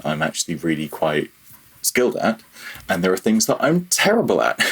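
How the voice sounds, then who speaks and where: Male interview guest, deep and husky, informal, modest, feeling his way through each thought. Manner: hesitant, self-deprecating, thinking aloud. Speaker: podcast guest, freelance audio developer. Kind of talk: casual interview chat.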